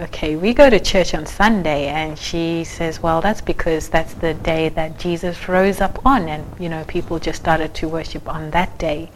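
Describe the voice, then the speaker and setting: A young woman from Zimbabwe speaks softly and unhurriedly, her voice sitting a little low. She tells her own story with frequent pauses, fillers and restarts, and a faint hiss of noise runs under the recording. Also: low-quality recording. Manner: soft-spoken, many fillers and restarts. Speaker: church member sharing her story. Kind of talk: personal testimony.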